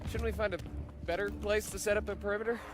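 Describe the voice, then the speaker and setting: An actor playing a bookish young army private in a war game, polite and questioning. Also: male voice, high-pitched, steady tone, fast talker, natural voice. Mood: earnest, questioning. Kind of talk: dramatic war game cutscene acting.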